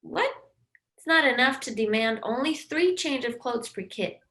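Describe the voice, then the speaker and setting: A bold, caring girl who speaks plainly and kindly, as a woman reads her at a table read. The voice sits fairly high, with faint music underneath. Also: lively intonation, normal speed, sharp tone. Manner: direct and friendly.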